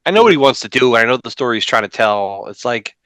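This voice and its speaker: A man in his forties co-hosting a comics podcast from home over a video call, animated with joking asides. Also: medium pitch, fast, clear, resonant tone, no room sound. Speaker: podcast co-host. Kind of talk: casual chat about comics news.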